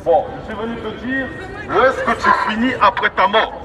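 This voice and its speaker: Male street evangelist, high voice, declamatory and repetitive, megaphone heard from far off amid crowd bustle. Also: animated. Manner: declamatory, repetitive, halting restarts. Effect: megaphone amplification. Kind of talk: open-air evangelistic preaching. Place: outdoors in a busy urban public space. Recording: megaphone voice outdoors, distant, echoing, street bustle. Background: street crowd and vehicles.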